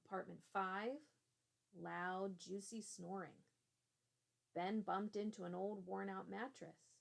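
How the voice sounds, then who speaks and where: A woman teacher reading a children's storybook aloud in a deliberate, expressive way. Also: mid-range voice, vocal fry.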